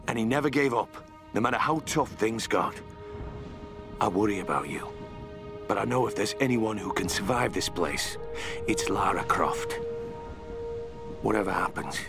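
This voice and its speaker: Weary fatherly older man for a game: low voice, earnest, tender, measured, clear music behind. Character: gruff, fatherly older male mentor, devoted and weary. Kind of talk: video game audio letter.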